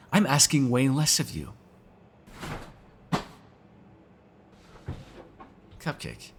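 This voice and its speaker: A man's voice: medium pitch, a bit bright, husky, heavily acted. A man playing a sarcastic, teasing vampire who kills without regret, in casual, profane roleplay banter. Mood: wry, bantering. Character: snarky male vampire, restless unrepentant killer, teasing. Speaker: voice actor in an audio roleplay. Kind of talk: ASMR roleplay, casual one-sided dialogue.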